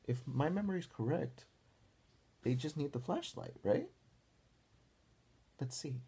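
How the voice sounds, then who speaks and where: Expressive, slow-paced man reading to kids. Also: on the high side, creaky, slightly rough. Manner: deliberate, pausing to question listeners. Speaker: teacher reading a picture book aloud. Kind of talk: animated read-aloud for young children, with questions.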